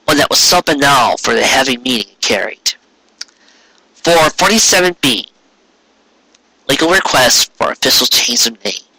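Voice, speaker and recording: A man's voice: mid-range voice, pushed and bright, dry recording, poor audio. A man narrating a scripted story as a dramatic fan fiction reading.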